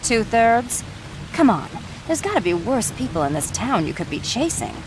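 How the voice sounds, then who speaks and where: An actress playing a sly, flirtatious cat burglar in a video game, teasing, sultry and mocking. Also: female voice, fairly high pitch, big pitch swings, moderate pace, noisy background. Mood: coaxing.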